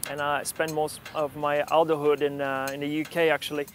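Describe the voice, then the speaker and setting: Thirty-something man, mountaineer, slightly high voice. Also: a little fast, slight background noise. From born in Argentina, raised in Brazil. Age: 30s. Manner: relaxed, with many fillers and restarts. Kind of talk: documentary interview and voice-over.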